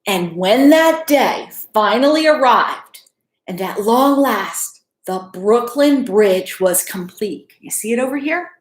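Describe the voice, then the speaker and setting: A woman in her thirties reading a picture book aloud to children in an expressive, teacherly way. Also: fairly high pitch, takes it slowly. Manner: expressive, teacherly, checks in with questions. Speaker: school principal reading aloud to pupils. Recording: echoey room.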